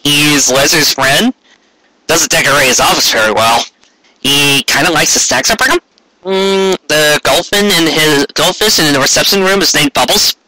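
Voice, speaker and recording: A man's voice: on the high side, animated, normal speed, bright, forceful tone, dry recording, voice pushed up, rough recording. A man voicing an earnest, anxious male cartoon pony husband, hesitant and eager to explain, in a story reading.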